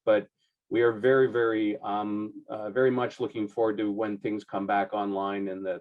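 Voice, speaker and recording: Deep-ish dean guy winging a quick hello, lots of uhs and ums. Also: a little mellow, dull audio. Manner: halting, many fillers. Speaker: college dean. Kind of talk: impromptu remarks at online info session.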